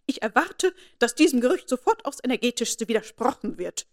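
A woman's voice: high voice, lively intonation, normal speed, voice pushed up. A woman voicing a haughty, imperious elderly noblewoman who speaks in a commanding, formal and indignant way.

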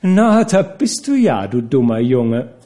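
A man voicing a coquettish young actress who teases and cajoles in a high, airy voice. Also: sing-song, slow pace, mellow. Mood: teasing. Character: coquettish, teasing young woman, a theatre actress.

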